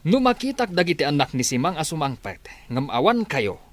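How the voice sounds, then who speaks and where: A man's voice: fairly high pitch, sing-song, brisk, dry recording. A man playing a stern, demanding middle-aged farmer father in an Ilocano radio drama.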